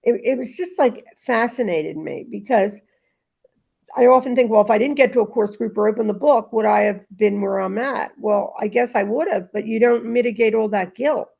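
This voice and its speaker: Creaky-voiced woman in casual spiritual discussion. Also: fairly high pitch, moderate pace, dark tone. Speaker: reading group leader. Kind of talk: casual spiritual discussion with read-aloud passages.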